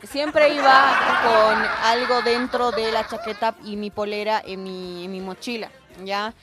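High-voiced Bolivian woman chatting. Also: fairly even intonation, a little slow, loud music behind the voice. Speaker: radio show participant. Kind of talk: casual chat, anecdote telling.

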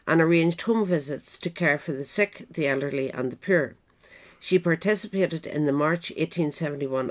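Woman reading scripted biographical narration. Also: low-pitched. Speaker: narrator, reader.

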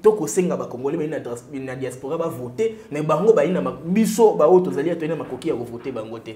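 A man's voice: on the high side, expressive, fast talker, dark tone. Probing young male interview host. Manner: pressing, probing questioner. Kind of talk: studio interview, mixing French and Lingala. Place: TV studio, indoors. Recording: roomy studio sound.

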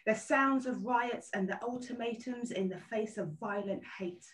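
A woman's voice: a little high, moderate pace. Female narrator for a play reading, stage directions, echoey and off-mic on a video call. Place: indoor room, video call. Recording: roomy, distant video-call audio.

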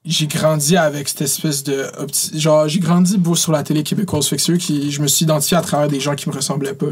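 A man with a Quebec French accent hosting a studio podcast, his voice a touch higher and husky. He asks his questions casually, sliding English slang into his sentences. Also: fairly even intonation, quick pace. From Laval, Quebec. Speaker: podcast host.

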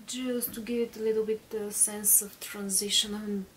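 A woman's voice: mid-range voice, flat delivery, normal speed, recorded from a distance, a little hiss or hum, low-quality recording. A woman in her thirties, a native Bulgarian speaker, chatting through a makeup tutorial in a small bedroom with lots of fillers and restarts. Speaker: beauty vlogger.

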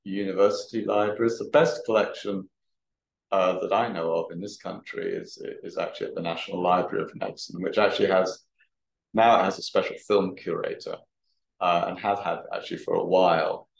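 A man giving an academic talk and answering questions, speaking haltingly and often repeating words. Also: sits low, fairly even intonation, gravelly. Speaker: guest lecturer. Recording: roomy, echoey sound.

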